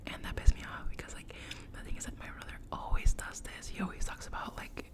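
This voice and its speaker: A young woman whispering a rambling personal story, full of "like" and self-corrections, in the style of an ASMR storytime. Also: fairly quick. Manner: soft whisper throughout. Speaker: vlogger.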